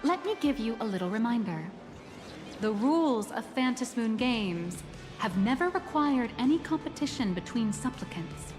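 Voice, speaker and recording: A woman's voice: fairly high pitch, animated, over music, natural voice. A woman voicing a self-assured, secretive corporate tour guide in a video game, speaking formally and with composure. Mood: discreet, teasing. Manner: formal, composed, measured phrasing. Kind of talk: scripted video game character dialogue.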